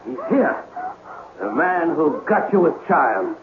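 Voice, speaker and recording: A brutal, jealous sea captain from an old radio drama. The voice is fairly high and creaky, swinging widely as it snarls threats in salty archaic speech. The broadcast is noisy and muffled. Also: male voice, sing-song, slow. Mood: furious, mocking.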